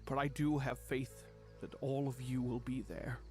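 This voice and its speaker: Casting: actor as male cartoon chaos being, middle pitch, expressive and earnest, theatrical, light music behind. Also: moderate pace, close to an everyday voice. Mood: anxious, hopeful. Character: theatrical, good-hearted male chaos creature, Discord. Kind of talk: animated fan audio drama acting.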